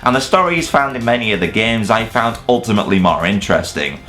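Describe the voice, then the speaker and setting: A man with a fairly deep voice narrates a scripted, opinionated review over soft background music. His delivery is very animated, wry and blunt, with casual swearing. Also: quick pace. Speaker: video reviewer presenting a top ten list.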